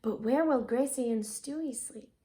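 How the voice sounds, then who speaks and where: A woman with an American accent voicing eager young twin boy builders in a storybook, earnest and expressive. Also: on the high side, normal speed, natural voice. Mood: sleepy wondering. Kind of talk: storybook character dialogue.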